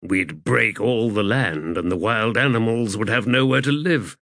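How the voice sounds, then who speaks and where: A man voicing a courteous, sombre male army commander with a measured manner, in an audiobook. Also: on the low side, creaky, fairly bright tone, no room sound, clean studio sound. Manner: measured, grave.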